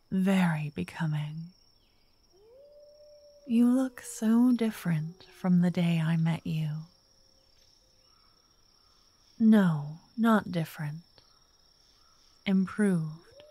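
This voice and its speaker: A woman playing a wealthy, romantic vampire writer in an intimate ASMR roleplay, speaking softly and breathily, often whispering, with an elegant, flirtatious manner. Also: lowish voice, husky. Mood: tender, nostalgic. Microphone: close microphone in a dry, quiet space.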